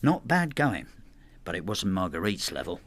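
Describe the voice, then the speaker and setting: An actor in a radio drama playing a plain-spoken working man in his sixties, telling his story in a measured, understated way. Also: low voice, very animated. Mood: reflective. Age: 60s. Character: retired working-class widower, plain-spoken, quietly bitter husband. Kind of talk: radio drama narration and dialogue.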